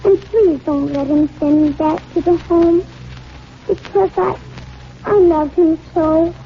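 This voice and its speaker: Very high-voiced sweet little girl. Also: steady tone, slow, exaggerated voice. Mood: earnest, tender. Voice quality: small childlike voice. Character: sweet innocent little girl, sleepy, trusting. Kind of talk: 1940s radio acting. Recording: muffled noisy 1940s radio recording.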